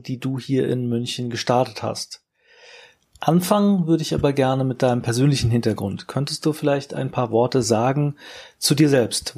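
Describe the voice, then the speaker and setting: A man's voice: mid-range voice, gravelly, a little mellow, husky. A male podcast host reading a formal introduction and asking polite interview questions.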